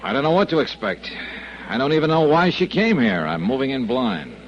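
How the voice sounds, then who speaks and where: An actor playing a tough, wry American investigator with clipped, slangy, sardonic delivery in 1950s radio detective style. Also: male voice, medium pitch, big pitch swings, fairly quick, lightly acted. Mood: brisk, wry. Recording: muffled old radio broadcast.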